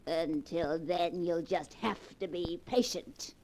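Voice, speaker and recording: A woman's voice: lowish voice, takes it slowly. An actress in a 1940s radio play voicing a wealthy older grandmother who mocks her grandchildren. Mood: scornful. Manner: mocking, imperious, cackling. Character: old rich grandmother, scornful and teasing. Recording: old radio broadcast with faint hiss.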